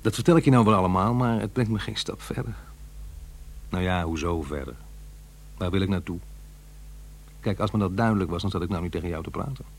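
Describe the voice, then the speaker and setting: Troubled middle-aged father, low-voiced. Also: a little hiss or hum. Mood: brooding, confiding. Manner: halting, earnest, self-questioning. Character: troubled, reproachful divorced middle-aged father, groping for contact. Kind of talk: Dutch radio play acting.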